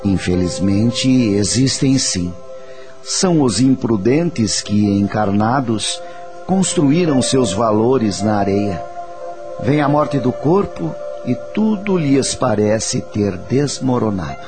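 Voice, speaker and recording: A man playing a wise, patient spirit teacher, speaking in a measured, reassuring and didactic way in a radio drama. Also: mid-range voice, expressive. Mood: gentle teaching. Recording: faint music under the voice.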